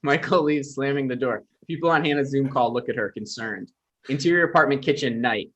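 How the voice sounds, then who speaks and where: A man's voice: medium pitch, brisk, fairly bright tone, lo-fi sound. A man giving scripted narration, reading out the stage directions for a table read.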